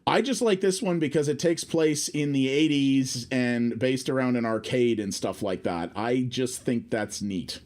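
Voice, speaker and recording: A man's voice: mid-range voice, measured pace, hardly any pauses, darkish tone. A man casually thinking aloud as he streams his first look at a game, chatting through the menus and settings. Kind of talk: casual live game first-impressions commentary.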